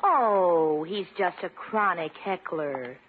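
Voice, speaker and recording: An actress playing a supportive, gently teasing lady friend in a 1950s radio sitcom, polite and wry. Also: fairly low pitch, expressive, takes it slowly. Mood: playful. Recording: noisy narrow-band old radio recording.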